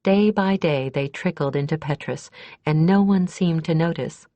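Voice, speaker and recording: A woman's voice: low-pitched, normal speed, mellow, no echo, dull audio. A woman reading scripted fantasy fiction as an audiobook narrator.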